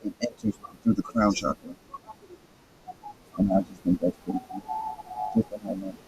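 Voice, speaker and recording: A hoarse, raspy man reads aloud and talks casually, stopping and restarting often. His low voice is heavy with fry, and his pitch swings widely between phrases. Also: very animated, darkish tone, lo-fi sound, slight background noise. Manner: halting, stumbles over words, frequent restarts. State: hoarse, throat hurting. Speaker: host reading a book aloud. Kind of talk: casual reading with commentary.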